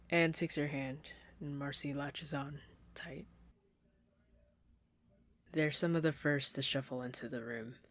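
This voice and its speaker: Soft-spoken podfic reader, dry and close. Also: medium pitch, no echo. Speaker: fan fiction reader. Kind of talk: podfic narration. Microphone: desktop condenser mic.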